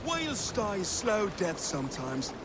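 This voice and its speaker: A man's voice: high voice, wildly swooping pitch, unhurried, full character voice. A man playing a weathered Viking whaler who tells a sea yarn in an earnest, dramatic way in a video game. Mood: awed, suspenseful. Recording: loud sea and boat noise.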